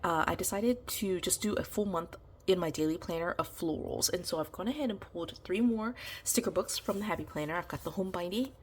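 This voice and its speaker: A woman's voice: on the low side, brisk, slightly rough. A woman vlogger chatting casually through her planner decorating, nasal from congestion and sick, her voice starting to give out. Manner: chatty, meandering, full of ums and you-knows. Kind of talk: casual plan-with-me chat.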